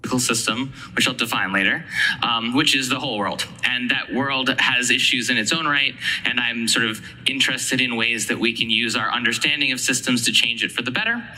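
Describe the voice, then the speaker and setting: A man delivers an informal academic lecture in a mid-pitched, very crisp-edged bright voice. The recording carries faint noise and a low rumble behind him. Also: brisk, low-quality recording. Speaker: conference speaker.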